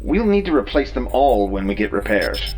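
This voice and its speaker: A man's voice: lowish voice, sing-song, normal speed, a little mellow, soft background music. A man voicing a dry, literal-minded starship artificial intelligence in a scripted sci-fi audio drama, precise and wry. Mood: dry, grumbling. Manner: precise, matter-of-fact, wry.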